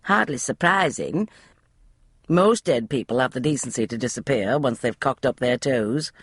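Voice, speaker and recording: A woman voicing a blunt, sharp-tongued older lady with a brusque, salty way of talking. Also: rumbling low, lively intonation, normal speed, breathy edge, no room sound, studio quality, full character voice.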